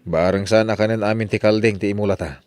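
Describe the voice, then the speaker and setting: An actor playing an exasperated, grumbling farmer in a comic radio drama, speaking with an Ilocano accent. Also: male voice, on the low side, fairly even intonation, quick pace, a little mellow, clean tone, no echo, natural voice. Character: exasperated male farmer pleading with stubborn neighbours.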